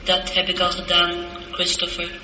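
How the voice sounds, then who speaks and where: An actress playing a female spaceship computer in a radio drama, formal, measured, literal and calmly reassuring. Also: fairly low pitch, soft background music. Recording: dull, noisy, echoing old radio recording.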